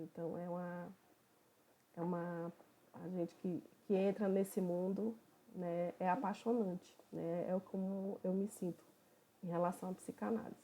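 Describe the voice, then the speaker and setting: A woman from Bahia, a psychoanalyst who opens an online study group in a relaxed, conversational way. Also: medium pitch. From Bahia, Brazil. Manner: relaxed, conversational, thinking aloud.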